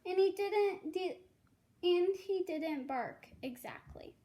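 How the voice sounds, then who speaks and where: A young girl reads a storybook aloud in a high voice. She goes slowly and carefully, sometimes halting over the words. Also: lively intonation, dull audio. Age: child. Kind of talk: children's storybook read-aloud.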